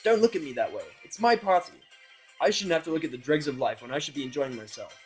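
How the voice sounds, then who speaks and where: A man's voice: a little high, animated, quick pace, soft background music, pitched above the performer's own voice, natural voice. A man playing a sullen, bitter young man who grumbles and snaps in a fan audio drama. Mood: angry. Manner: snarling, grumpy. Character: sullen, sweary, bitter young human male outsider.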